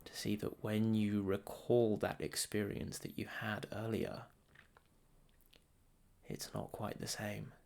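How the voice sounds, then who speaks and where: A man teaches meditation in a soothing, gravelly voice that stays very even in pitch. He moves very slowly and deliberately, setting down short phrases with long pauses between them. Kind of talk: guided meditation.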